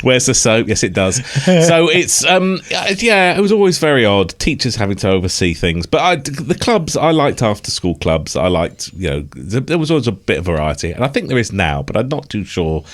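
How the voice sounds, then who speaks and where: A man's voice: medium pitch, sing-song, moderate pace, hardly any pauses. A middle-aged British man co-hosting a podcast in casual nostalgic banter, sardonic and sweary, grumbling at his own expense. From United Kingdom. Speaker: podcast co-host.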